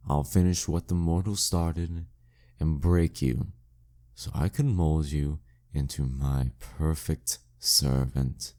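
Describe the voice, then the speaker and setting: Demon king villain for audio roleplay, male actor: very low, unhurried, smug and seductively commanding. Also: warm tone, exaggerated voice. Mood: possessive, teasing. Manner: teasing, condescending, menacingly courtly. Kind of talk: flirty audio roleplay monologue addressed to the listener.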